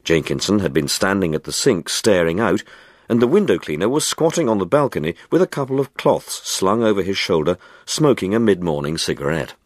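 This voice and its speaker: A man narrating a dark literary short story in a measured, expressive storytelling manner. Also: low-pitched, no room sound, studio quality. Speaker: audiobook narrator.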